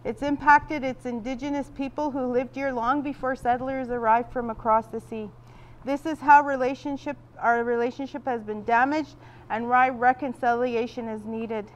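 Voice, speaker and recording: A woman's voice: on the high side, fairly even intonation, moderate pace. Woman facilitator with a big projecting voice. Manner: loud projecting voice without a microphone. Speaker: workshop facilitator. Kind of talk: guiding a group exercise. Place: large event room.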